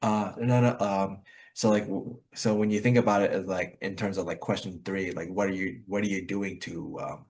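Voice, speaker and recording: A man with a very low voice hosts an online English discussion group. He talks casually and explains in a loose, roundabout way, hedging as he goes. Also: steady tone, normal speed, gravelly, darkish tone. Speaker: host of an online English practice meeting.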